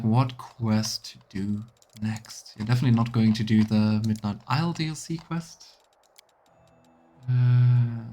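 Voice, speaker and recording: Male game streamer, mid-range voice, casual and musing, thinking aloud over soft music. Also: medium pitch. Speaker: streamer playing a video game. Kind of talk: casual let's play commentary.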